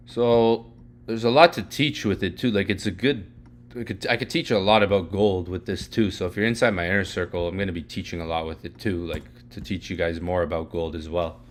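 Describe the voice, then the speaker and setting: Young man, slightly low voice, going hoarse, clears his throat, rambly filler-heavy chart talk. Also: fairly even intonation, moderate pace. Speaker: trading educator. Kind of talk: casual live chart walkthrough.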